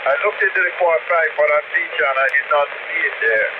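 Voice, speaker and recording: A man chatting casually over two-way radio, speaking haltingly and repeating his sentences. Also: a little high. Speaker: radio operator. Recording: narrow, noisy two-way radio signal.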